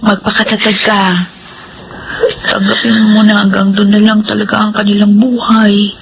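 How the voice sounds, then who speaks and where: An actress playing the heroine's caring best friend, speaking gently and consolingly. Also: female voice, medium pitch, completely flat delivery, moderate pace, gravelly, a little hiss or hum, lightly acted, low-quality recording. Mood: comforting. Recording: radio drama with music underneath.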